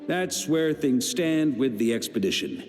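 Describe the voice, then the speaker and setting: An actor playing an easygoing, confident veteran knight commander in a video game, breezy and wry. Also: male voice, medium pitch, expressive, a little slow, a little mellow, soft background music, lightly acted. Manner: breezy, self-assured, wry.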